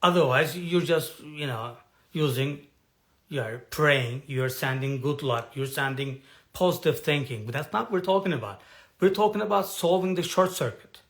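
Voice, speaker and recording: A man coaching an online audience in personal development, talking in a halting way with frequent restarts as he searches for words. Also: a little high, a bit bright. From has lived in Turkey for decades. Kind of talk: live talk to an online audience.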